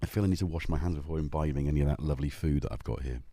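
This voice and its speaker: A man's voice: a bass voice, very fast, mellow, dry recording. A middle-aged man from North Wales with a North Welsh accent, chatting casually with viewers on a livestream and joking as he greets them by name. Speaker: livestream host. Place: home.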